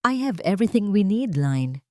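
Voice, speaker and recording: A man's voice: sits high, sing-song, moderate pace, dry recording, clean studio sound, pitched above the performer's own voice. A woman voicing a serious, urgent male lawyer and protector in an audio drama story. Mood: grim resolve. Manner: grave, urgent.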